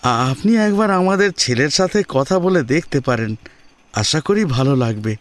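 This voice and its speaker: A man voicing a humble, deferential father who politely and haltingly pleads for a marriage match in an audio drama. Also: a little high, lively intonation, no echo, voice pushed up, natural voice. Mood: humble pleading.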